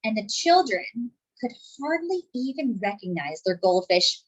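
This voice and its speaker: A woman reading a picture book aloud to children, expressive and deliberate, dropping in chatty asides. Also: fairly high pitch, husky, lo-fi sound. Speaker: children's story reader.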